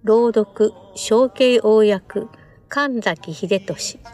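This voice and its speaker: Woman, announcer, slightly high pitch, scripted credit reading, faint music behind. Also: very slow, a little mellow.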